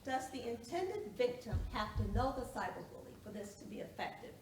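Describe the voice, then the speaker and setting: A probing female lawyer played by a woman examines a witness in a courtroom play, her fairly high voice moving up and down expressively. The recording is distant and roomy, and she keeps a formal manner. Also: big pitch swings, normal speed, darkish tone. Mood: pointed, probing. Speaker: actor in a one-act play. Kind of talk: stage courtroom drama acting. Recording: echoey room, distant mic, noisy.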